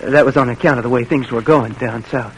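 A young man playing a polite, easygoing army private in an old radio drama, with a folksy manner and husky voice. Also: medium pitch, fairly even intonation, brisk, slight background noise, close to an everyday voice, low-quality recording. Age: young adult.

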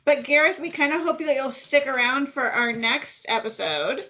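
A woman with a strong Midwestern American accent co-hosting a podcast, steering the talk in a measured way. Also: sits high, animated, pushed and bright. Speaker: podcast co-host. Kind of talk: casual chat, wraps up the episode. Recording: dull lo-fi podcast audio.

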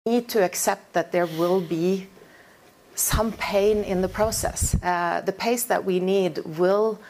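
A woman with a Norwegian accent, a native Norwegian speaker, speaking on a panel in a measured, hesitant way. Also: mid-range voice, steady tone, darkish tone, poor audio. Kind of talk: panel talk.

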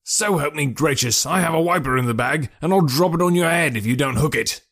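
A man voicing a cranky, lanky old male animal keeper who yells threats and then chats fussily. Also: a little high, fairly quick, pitched above the performer's own voice. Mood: angry, shouting. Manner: shouting threats, then fussy and chatty.

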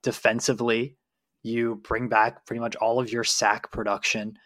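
A man's voice: mid-range voice, flat delivery, moderate pace, a bit bright, no room sound. Dry-recorded male sports podcast co-host. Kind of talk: casual sports analysis.

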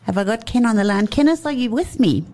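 An effusive, playful woman chats about wine on a radio programme. Her voice is mellow and warm, and laughter slips into her talk. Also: a little high, expressive, fast talker, slight background noise. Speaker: wine commentator. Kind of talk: lively radio chat.

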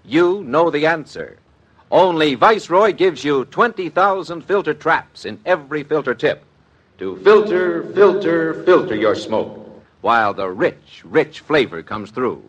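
Male ad announcer, fairly high voice, very animated intonation, emphatic, 1950s radio pitch. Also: unhurried, highs cut off. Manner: emphatic, repeating key words. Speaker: commercial announcer.

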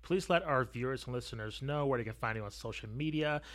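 Bright-voiced male podcast interviewer. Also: medium pitch, fast. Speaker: sports podcast host, interviewer. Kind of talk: casual interview questions.

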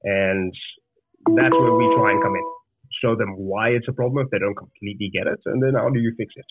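A man's voice: on the low side, steady tone, fairly quick, breathy edge, no room sound, soft background music. Zimbabwean man on a tinny call line. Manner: earnest, repeats words as he restarts. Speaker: guest, startup founder. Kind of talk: conversational interview answers. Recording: dry, tinny phone-like line.

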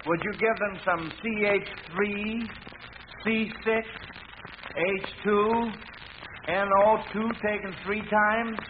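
A man's voice: high-pitched, steady tone, slow pace. A man hosting a 1940s radio quiz show, addressing contestants in a formal announcer's manner. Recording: noisy lo-fi vintage radio broadcast.

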